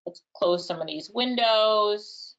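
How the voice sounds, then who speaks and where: A woman's voice: medium pitch, takes it slowly, lo-fi sound. A woman with a Jamaican accent presenting a live online workshop, casually answering chat questions and thinking aloud. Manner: casual, chatty, thinks aloud, laughs lightly. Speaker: webinar presenter.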